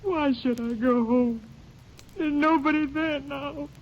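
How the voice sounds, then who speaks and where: A woman's voice: high-pitched, slow pace, muffled recording, a little hiss or hum. An actress playing a grief-stricken young mother, tearful and pleading, in a 1940s radio drama. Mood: grieving. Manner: broken, pleading speech. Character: grief-stricken young mother who lost husband and son.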